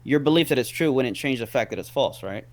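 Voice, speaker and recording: A man's voice: mid-range voice, animated, fast talker, dry recording. A man as a guest in a casual debate, rambling and repeating words as he reasons step by step.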